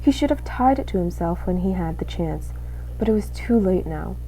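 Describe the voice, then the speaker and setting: Young woman student reading a kids book out loud, mellow, faint noise behind. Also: fairly low pitch, expressive, normal speed, low-quality recording. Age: young adult. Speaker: student reader. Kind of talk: expressive children's storybook narration.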